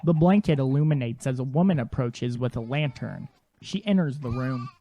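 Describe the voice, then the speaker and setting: A man's voice: medium pitch, expressive, moderate pace, mellow. A man reading scripted scene narration for an audio drama.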